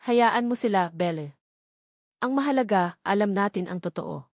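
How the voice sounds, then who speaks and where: A woman's voice: mid-range voice, animated, normal speed, no room sound, clean studio sound, close to an everyday voice. A woman with a Filipino accent voicing an earnest, repentant young father in a narrated love story. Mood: reassuring.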